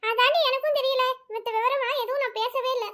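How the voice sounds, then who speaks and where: A woman's voice: extremely high pitch, fairly even intonation, brisk, fairly bright tone. A woman voicing a worried aunt who frets and complains about family news. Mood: fretting. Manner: fretting, complaining.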